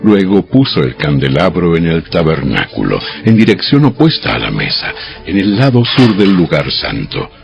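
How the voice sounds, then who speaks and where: A man narrating dramatized scripture from a script in a solemn, deliberate storytelling manner. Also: deep voice, huge pitch swings, vocal fry, muffled recording. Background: music.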